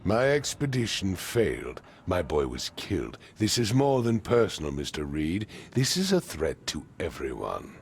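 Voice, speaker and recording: A man playing a haughty, commanding patrician in a video game, with formal, pompous and deliberate phrasing. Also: sits low, huge pitch swings, husky, heavily acted. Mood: stern, aggrieved. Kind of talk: video game cutscene acting.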